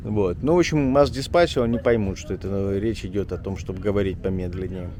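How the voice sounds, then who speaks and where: A man talking casually as a journalist vlogger on a walk through an old town street, rambling and chatty, often pausing on filler words. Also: sits low, mellow, slight background noise. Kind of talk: casual walking vlog chat.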